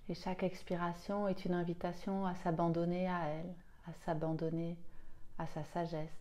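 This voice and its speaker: A woman leading a guided relaxation meditation as a yoga nidra teacher, soothing and unhurried, with long pauses and a soft, at times breathy voice. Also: on the low side, little pitch movement.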